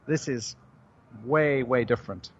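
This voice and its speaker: A middle-aged man with a British accent, a company chief answering interview questions on the radio in a measured way. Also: medium pitch, lo-fi sound. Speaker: company CEO guest.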